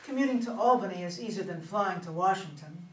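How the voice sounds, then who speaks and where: A woman's voice: mid-range voice, animated, brisk, natural voice. A woman playing a smug, ambitious younger female politician in a play reading, self-assured and calculating. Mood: smugly confident. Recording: echoey room, distant, noisy.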